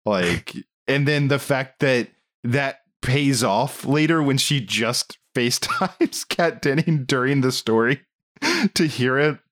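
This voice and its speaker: A man talking casually as a podcast host, rambling along in an animated, amused way. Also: medium pitch, slow. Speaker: film discussion podcast host. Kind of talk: casual movie review chat.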